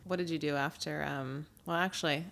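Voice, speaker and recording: A woman's voice: deep voice, steady tone, fairly quick, gravelly, fairly bright tone, slight background noise. A woman hosting a podcast in a casual chat, informal and encouraging with frequent agreeing interjections. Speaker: podcast host.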